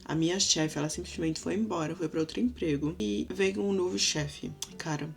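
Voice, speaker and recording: A woman's voice: on the low side, moderate pace, vocal fry, faint music behind. A young woman from Brazil with a Recife accent, telling a personal story to her viewers in a casual, chatty way. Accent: Recife Brazilian Portuguese. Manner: chatty, addresses viewers directly. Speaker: vlogger.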